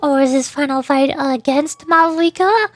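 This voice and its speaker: A woman's voice: sits high, moderate pace, no echo. A woman game streamer chatting to herself and reading on-screen text aloud as she plays. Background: game music.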